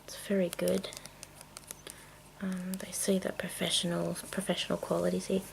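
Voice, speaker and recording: A woman chatting casually in a soft, mostly whispered ASMR style, rambling along, with tapping and clicking from small containers. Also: on the low side, completely flat delivery, moderate pace, gravelly, poor audio. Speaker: video creator and host. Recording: noticeable noise, clicking sounds. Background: tapping and clicking of makeup containers.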